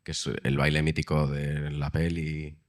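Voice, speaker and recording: Guy actor on a panel, really low voice, stops and repeats words as he thinks. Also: monotone, measured pace. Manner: thoughtful, restarts with repeated words. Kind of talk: live panel talk. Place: festival press event room.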